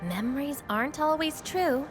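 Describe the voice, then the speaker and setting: An actress voicing a calm, self-assured young woman who wields fire, speaking game dialogue with a teasing edge over game music. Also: high-pitched, normal speed.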